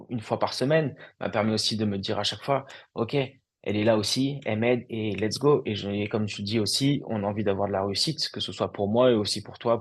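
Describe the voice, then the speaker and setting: A young man speaking with a low voice in a relaxed interview. He talks loosely and chattily, leaning on small fillers as he recounts his own experience. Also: breathy edge. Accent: French. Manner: loose and conversational, lots of fillers. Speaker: entrepreneur guest. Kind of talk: casual testimonial interview.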